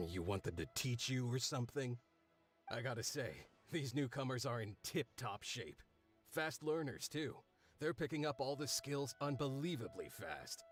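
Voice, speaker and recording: A man voicing a friendly, easygoing male site worker who talks in a relaxed, good-natured way in a video game. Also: medium pitch, a little slow, soft background music, natural voice.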